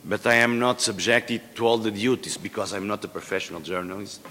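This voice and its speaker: Low-voiced man in a reverberant hall. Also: brisk, bright, forceful tone. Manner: hesitant, many fillers and restarts. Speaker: panel expert. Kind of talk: conference panel remarks. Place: large conference hall. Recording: long-reverb conference hall.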